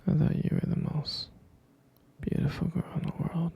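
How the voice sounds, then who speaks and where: A man playing a tender, devoted boyfriend in an intimate ASMR roleplay, whispering breathily with a husky, gravelly creak and gentle, hesitant phrasing. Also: fairly high pitch, little pitch movement, full character voice. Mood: tender, reminiscing. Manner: slow, gentle, hesitant, pausing and repeating. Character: tender, devoted boyfriend soothing his pregnant partner.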